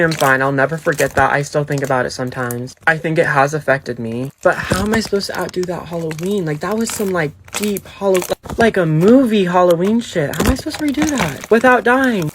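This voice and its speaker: A man's voice: fairly high pitch, background noise. A man telling a personal story casually and emphatically, with conversational slang. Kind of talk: casual first-person storytelling for social video.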